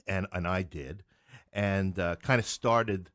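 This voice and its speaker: Low-voiced talkative man from Queens. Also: slow pace, a bit bright, no room sound, clean studio sound. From Queens, New York City. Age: middle-aged. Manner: talkative, digressive, wryly joking. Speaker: interview guest, playwright and English professor. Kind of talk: anecdotal interview conversation.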